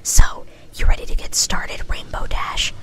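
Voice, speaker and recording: A woman's voice: measured pace, bright, forceful tone. A woman voicing a gleeful, overexcited young female cartoon pony in an ASMR-style story reading. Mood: excited, gleeful. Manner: bouncy, gushing.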